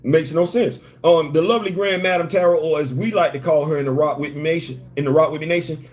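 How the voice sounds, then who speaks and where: A man's voice: a little high, fast talker. A man from New York with a slight Southern-tinged accent, hosting a live stream and talking emphatically in slangy, repetitive bursts while greeting viewers. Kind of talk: animated live-stream commentary.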